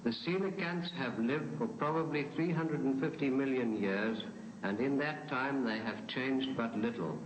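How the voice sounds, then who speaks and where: A man's voice: mid-range voice, unhurried. Male science narrator, echoey and noisy. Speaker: documentary narrator. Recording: echoey room, clearly noisy background.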